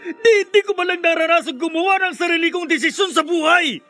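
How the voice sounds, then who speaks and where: A man's voice: very high voice, fast, sharp tone, faint music behind, full character voice. A man playing a volatile, defensive grown son and husband in a Filipino radio drama, intense and emotional, with a Filipino accent. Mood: bitter outburst.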